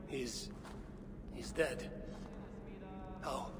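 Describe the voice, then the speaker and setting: An actor playing a proud Norse god, imperious and blunt, with formal archaic phrasing in a video game drama. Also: male voice, mid-range voice, very slow, noisy background. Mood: grave. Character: proud, commanding male Norse god, lord of Asgard.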